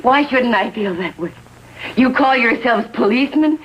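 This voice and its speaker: A woman's voice: on the high side, lively intonation, moderate pace, a little hiss or hum, natural voice. A woman playing a bitter, grief-stricken American mother in a 1950s TV drama, speaking in anguished, accusing tones. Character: bitter, grief-stricken mother blaming police for son's death. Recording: dull vintage TV soundtrack.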